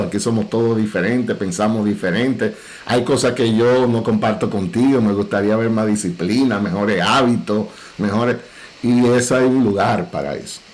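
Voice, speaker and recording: A middle-aged man with a Dominican Cibao accent, a pastor speaking earnestly and in a measured way on a parenting panel. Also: lowish voice, darkish tone. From Dominican Republic.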